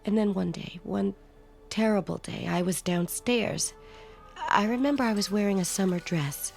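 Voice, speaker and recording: An actress playing a middle-aged, grieving and protective foster mother, gentle and emotional, in a radio drama. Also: medium pitch, normal speed, vocal fry, soft background music, lightly acted. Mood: tender, grieving. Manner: gentle, emotional, breaks into hushed tones.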